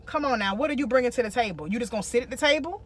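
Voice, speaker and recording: A woman's voice: on the high side, rapid-fire. An American woman co-hosting a relationship vlog, giving casual advice and repeating phrases for emphasis.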